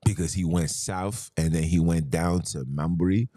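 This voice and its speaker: Low, dark-voiced argumentative man. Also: unhurried, no room sound. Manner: argumentative, rhetorical questions, emphatic. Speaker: podcast host. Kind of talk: heated monologue.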